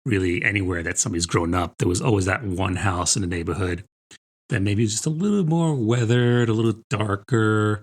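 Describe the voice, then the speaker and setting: A man's voice: lowish voice, animated, brisk, husky. A middle-aged man from New Jersey with an American accent, co-hosting a podcast in a casual, chatty, informal way. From New Jersey, United States. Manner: informal, chatty, peppered with rhetorical "right?" and "I mean". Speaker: podcast co-host. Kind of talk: casual conversational book chat.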